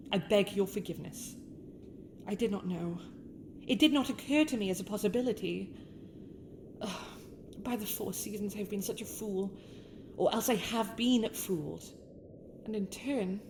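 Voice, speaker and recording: A young winter princess, acted by a woman, speaks in a British accent at a medium pitch. Her phrasing is formal and theatrical, that of a proud, sharp-tongued royal with a softer side, in an intimate fantasy ASMR roleplay. Light ambient noise sits behind the voice. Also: lightly acted. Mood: remorseful, then contrite, courteous. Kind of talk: scripted ASMR roleplay addressed to the listener.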